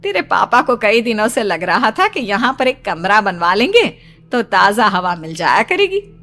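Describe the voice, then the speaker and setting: A woman voicing a caring, practical mother of a grown daughter in an animated story. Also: medium pitch, normal speed. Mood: caring, brisk.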